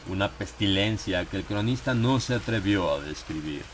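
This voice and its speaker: A man narrating a dramatized horror audiobook in a grave, theatrical manner with dramatic pauses. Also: low voice, lively intonation, noisy background. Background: background music.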